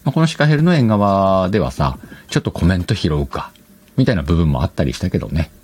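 Deep-voiced man, lively podcast host. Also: fast, husky. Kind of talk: casual solo chat. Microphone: external microphone, recorded on a computer.

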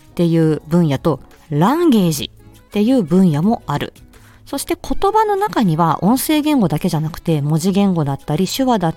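A woman's voice: fairly low pitch, mellow. A woman streamer chatting politely and explaining things in answer to a listener's letter. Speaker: streamer and speech-language therapist. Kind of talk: casual solo chat answering a listener's letter.

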